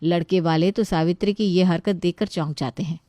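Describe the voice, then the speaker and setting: A woman's voice: lowish voice, steady tone, a little fast, dark tone, no room sound, studio quality. A woman narrating a scripted story as a storyteller.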